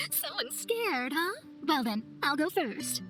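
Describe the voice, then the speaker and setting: A young female astrologer from a fantasy game. Her voice is high, her delivery proud and dramatic, and she flusters easily. Music plays clearly behind her. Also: huge pitch swings, extremely slow, heavily acted. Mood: confident, teasing. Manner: self-assured, dramatic, proud. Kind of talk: video game cutscene acting.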